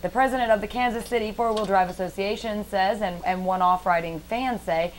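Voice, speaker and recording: A woman's voice: mid-range voice, brisk, crowd noise, low-quality recording. A woman reading scripted local news stories as a TV anchor. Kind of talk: scripted newscast narration.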